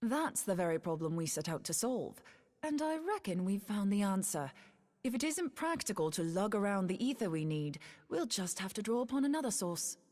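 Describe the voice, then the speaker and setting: An actress playing a brisk, cheeky woman inventor in a fantasy game, talking in a confident, colloquial way. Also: medium pitch, very animated, a little mellow, close to an everyday voice. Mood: confident, keen. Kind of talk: scripted fantasy game dialogue.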